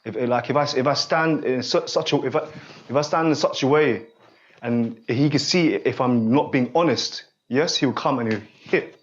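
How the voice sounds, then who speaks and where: Halting man in his forties, dull lo-fi sound. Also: medium pitch, a little hiss or hum. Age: 40s. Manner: halting and repetitive, often pausing to check the listener follows. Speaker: holistic therapist guiding a student. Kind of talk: unscripted one-on-one guidance session.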